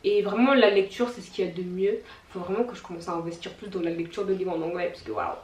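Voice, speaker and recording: A woman's voice: fairly low pitch, fairly even intonation, fast talker, darkish tone, distant mic, a little hiss or hum. Chatty young woman in a roomy room. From France. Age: young adult. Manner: chatty, giggly, many fillers and slang. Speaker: YouTuber. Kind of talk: casual vlog chat. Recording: roomy echo, a little away from the mic.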